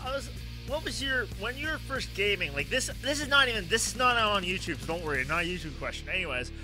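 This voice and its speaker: A man's voice: sits high, lively intonation, quick pace, over music, low-quality recording. A man hosting a casual gaming interview, rambling, repeating phrases and given to exclaiming. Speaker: podcast talk show host. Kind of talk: casual excitable interview chat.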